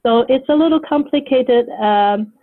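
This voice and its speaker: A woman's voice: high voice, measured pace. Older woman on phone-like line. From Taiwan. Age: 70s. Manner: thoughtful, hesitant, many fillers. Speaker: author guest. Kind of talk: conversational interview answers.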